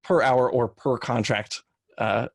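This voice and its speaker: A man speaking informally on a panel as a podcast producer, explaining things in a measured, hedging way. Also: mid-range voice, fairly even intonation, gravelly, no echo, clean studio sound.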